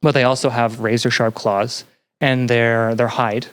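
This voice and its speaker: A young adult podcast guest in casual chat, explaining ideas earnestly and pausing to find words. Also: medium pitch, flat delivery, smooth. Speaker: podcast guest, student representative.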